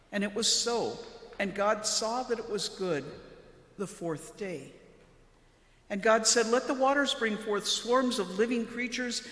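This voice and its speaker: A woman, a pastor, reading scripture from a church lectern in a solemn, measured way. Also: mid-range voice, fairly bright tone. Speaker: Lutheran pastor. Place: large church interior, at the lectern. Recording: echoey church with long reverb.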